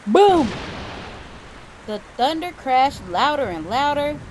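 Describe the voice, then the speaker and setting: A woman reading a children's story aloud with expressive, dramatic emphasis. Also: high voice, slow, a little hiss or hum, rough recording. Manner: animated, dramatic emphasis for young listeners.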